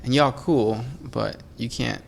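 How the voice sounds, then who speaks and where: Man, gravelly, easygoing and jokey, reads fan questions, faint background hiss. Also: medium pitch, slow. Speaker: podcast co-host. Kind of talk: casual chat answering fan questions.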